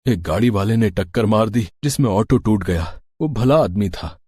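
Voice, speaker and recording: A man voicing a kind, humble working-class father in a cartoon moral story, gentle and reassuring. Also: on the low side, animated, moderate pace, breathy edge, no echo, studio quality. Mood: calm, reassuring. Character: kind, humble, poor rickshaw-driver father, reassuring husband.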